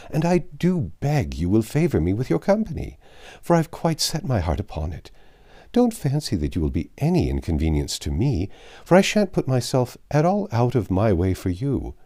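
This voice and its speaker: A man's voice: medium pitch, wildly swooping pitch, moderate pace, warm tone, pitched above the performer's own voice. A man voicing a bustling, talkative older widow who chatters effusively.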